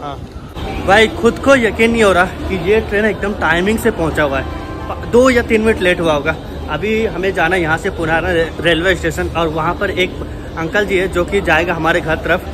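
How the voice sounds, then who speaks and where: A man's voice: high-pitched, normal speed, music in the background, a crowd in the background. A man in his twenties chatting casually and informally to viewers in a travel vlog, speaking into a handheld camera at arm's length. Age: 20s. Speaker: travel vlogger. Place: outdoors at railway stations and on the road. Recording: noisy outdoor station and vehicle ambience.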